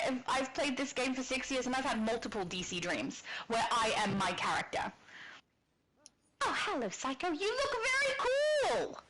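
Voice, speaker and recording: A woman co-hosting a casual livestream and reading chat comments aloud, chatty with frequent giggles and a high soprano voice. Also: a bit bright, slight background noise, rough recording. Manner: giggly, chatty, laughs often. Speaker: stream co-host.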